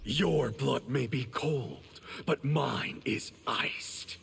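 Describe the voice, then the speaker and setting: An actor playing a cold, proud, stern ninja warrior in a video game, speaking in a measured way. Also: male voice, medium pitch, a bit bright, exaggerated voice. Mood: cold, disdainful. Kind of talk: video game fight dialogue. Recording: long hall reverb, clear noise behind.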